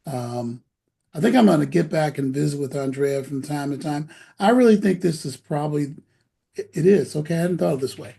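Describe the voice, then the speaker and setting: A man's voice: a little high, brisk, gravelly, mellow. A man hosting a casual interview, talking to parents in a folksy, persuasive, rambling way. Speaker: host of an education program show.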